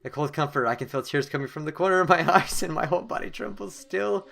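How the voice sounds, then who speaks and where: Bright-voiced excitable young man reading horror aloud. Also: a little high, fast, gravelly. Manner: excitable, dramatic, stumbles while reading aloud. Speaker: gaming video host. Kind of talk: horror game playthrough, reading story text aloud with reactions.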